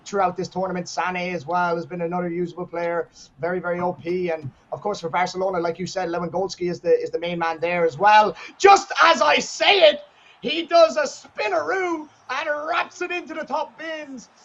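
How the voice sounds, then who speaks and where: A man doing excited play-by-play commentary on a video game football match, shouting at goals, and hoarse as he starts losing his voice. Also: high voice, normal speed, dull audio, slight background noise. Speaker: esports commentator.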